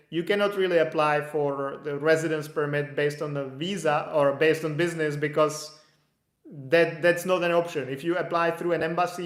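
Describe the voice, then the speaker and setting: A young man, an immigration consultant casually answering viewer questions in a live stream, thinking aloud with many restarts. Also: a little high. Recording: echoey room.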